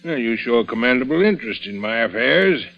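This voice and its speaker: A gravelly older man played by an actor, rich, pompous and imperious, issuing formal orders in an old radio drama. The recording is dull and vintage, with a creaky edge to his voice. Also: medium pitch, animated, fast talker, lightly acted. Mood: haughty, wary.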